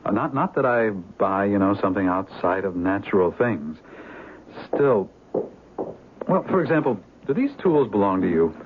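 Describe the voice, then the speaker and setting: An actor playing a shrewd, plain-spoken police detective in a 1970s radio drama. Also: male voice, fairly low pitch, big pitch swings, moderate pace. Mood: wary, probing. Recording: muffled, hissy 1970s radio broadcast.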